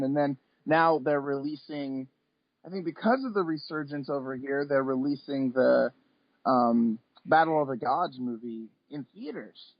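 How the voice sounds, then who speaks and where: A man's voice: medium pitch, husky, no room sound. Man, thirties, casual interview guest, hesitant and rambling, dry lo-fi sound. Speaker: interview guest, comic and anime artist. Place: remote call from home. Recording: slightly dull, lo-fi call audio.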